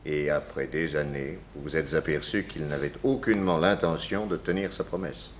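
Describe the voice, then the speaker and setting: An actor playing a methodical, probing detective who questions in a measured, pointed way, in 1960s radio drama style. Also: male voice, very deep voice, fairly even intonation, a little hiss or hum.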